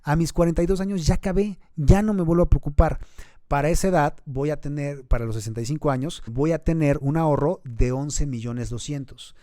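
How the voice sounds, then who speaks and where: Mexican man, 30s, mellow low-bright timbre, dry close sound; earnest financial advisor type. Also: a little fast, dry recording. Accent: Mexican Spanish. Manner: earnest, advising, builds to emphatic points. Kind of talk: explanatory interview talk.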